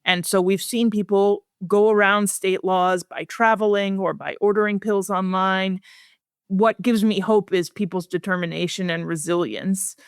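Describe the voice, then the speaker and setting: A woman speaking as a guest researcher in a podcast interview, measured, earnest and articulate. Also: mid-range voice, steady tone, clean tone, no echo, clean studio sound.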